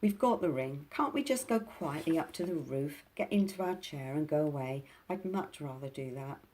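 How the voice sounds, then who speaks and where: A woman's voice: deep voice, expressive, normal speed, a little mellow, slight background noise. A woman voicing a worried, pleading young girl in a storybook reading.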